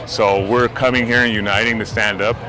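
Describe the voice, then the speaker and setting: A man with a fairly low voice speaks with determination at a street protest. A crowd is clearly heard behind him. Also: little pitch movement, a little fast, poor audio. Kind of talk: interview at a rally. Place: outdoors on a city street.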